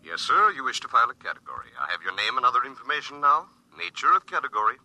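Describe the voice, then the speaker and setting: An actor playing a formal, clipped robot clerk in a 1950s radio drama. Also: male voice, medium pitch, sing-song, fast, bright, forceful tone, dry recording, muffled recording, full character voice. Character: prim male robot clerk.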